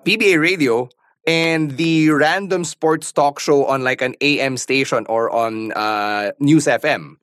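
A man with a Filipino accent hosts a casual interview in a medium-pitched voice. He speaks conversationally, blending two languages, and the sound is dry with very little room echo. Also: a little slow, fairly bright tone. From Philippines. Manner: mixes English and Tagalog, conversational. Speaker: podcast host, interviewer.

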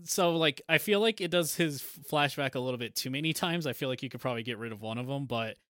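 A man co-hosting a podcast, chatting casually about a film in a halting way that often trails off. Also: mid-range voice, pushed and bright, dry recording, studio quality. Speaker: podcast co-host.

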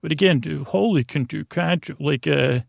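A man in his forties hosting a sleep podcast, rambling casually, halting, repeating himself and trailing off mid-thought. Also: a little high, creaky, no echo. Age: 40s. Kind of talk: rambling casual bedtime monologue.

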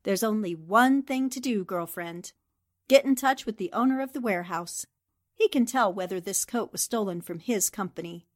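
A woman's voice: mid-range voice, normal speed, close to an everyday voice. A woman playing a worldly, teasing newspaperwoman in 1940s radio style, theatrical and a touch condescending. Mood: firm, patronizing.

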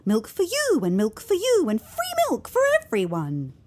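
A woman's voice: sits high, wildly swooping pitch, unhurried, darkish tone, exaggerated voice. A woman playing an eccentric, mocking contrarian in her forties in an audio sitcom, with a sarcastic manner. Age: 40s. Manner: sing-song scoffing, sarcastic.

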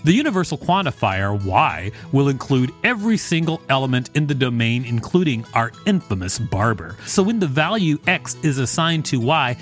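A man with a mid-pitched voice speaks as a podcast co-host. His delivery is conversational and wry, moving between read-aloud text and casual banter. Music plays behind him. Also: big pitch swings, normal speed, hardly any pauses. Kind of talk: reading an encyclopedia article aloud with casual banter.

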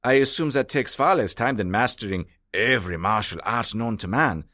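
An actor playing a pompous, sarcastic German military villain with a theatrical German accent in a comedy. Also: male voice, medium pitch, lively intonation, moderate pace, sharp tone, no room sound, studio quality. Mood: dry, exasperated. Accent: mock German. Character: pompous, impatient German military villain, childishly vain, secretly soft.

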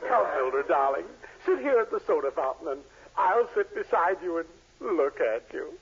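An actor playing an excitable, scheming middle-aged boss in 1940s radio comedy, theatrical and fussy. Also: male voice, on the high side, moderate pace, crowd noise. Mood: lovesick, gushing. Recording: muffled vintage 1940s radio recording.

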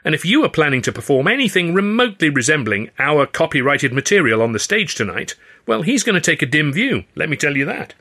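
A man's voice: on the high side, sing-song, fairly quick, sharp tone, pitched above the performer's own voice, heavily acted. Man playing a smug, gloating stage rival. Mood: smug threatening. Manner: smug, taunting. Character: smug, gloating male rival comedian, openly malicious.

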